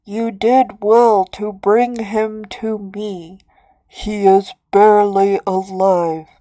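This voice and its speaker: Menacing metal-working mastermind, played by a woman, grandiose, mellow and low, drawn-out delivery, very dry sound. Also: no echo, natural voice, poor audio. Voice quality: deep, deliberately lowered voice. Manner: grandiose, slow and deliberate. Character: brassy menacing mastermind who rebuilds men from metal. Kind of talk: dramatic fan fiction reading.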